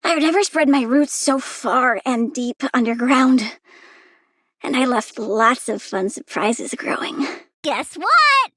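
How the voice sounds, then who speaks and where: A woman's voice: high-pitched, sing-song, slow, fairly bright tone, dry recording, exaggerated voice. A woman playing a childlike young plant-girl villain in a video game, whimsical and moody, cheerfully murderous. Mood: playful, chatty. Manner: girlish, whimsical, sulky, gleefully menacing. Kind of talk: video game character acting.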